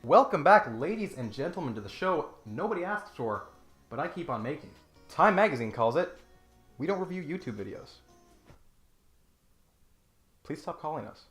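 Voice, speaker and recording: Animated, bright-voiced man hosting comedy critique. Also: fairly high pitch, moderate pace, long pauses, echoey room, faint music behind. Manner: mock-grandiose, sarcastic, emphatic outbursts. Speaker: YouTube comedy host. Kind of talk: scripted comedic critique with dramatic readings.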